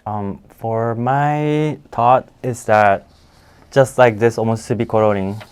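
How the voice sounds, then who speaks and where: A man explaining things informally as a guest educator, in halting, phrasing. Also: mid-range voice, fairly even intonation, darkish tone, clean tone. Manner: halting, non-native phrasing.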